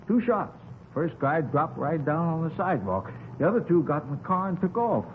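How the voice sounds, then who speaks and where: A man's voice: fairly high pitch, sing-song, moderate pace, narrow, tinny audio. A man playing a folksy, talkative eyewitness in a 1950s radio drama, rambling along in colloquial phrases. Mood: excited. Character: folksy, talkative male eyewitness to a street shooting. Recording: scratchy, thin 1950s radio recording.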